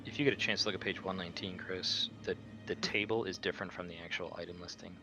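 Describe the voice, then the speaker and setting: A man's voice: deep voice, fairly even intonation, fast talker, music in the background, poor audio. A man chatting casually as a player in a tabletop game. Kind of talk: casual chat.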